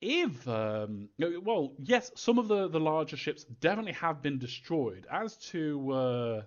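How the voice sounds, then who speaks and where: A man answering fan questions in an informal live Q&A, thinking aloud in a halting, rambling way, as a developer. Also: a little high, sing-song, dull audio.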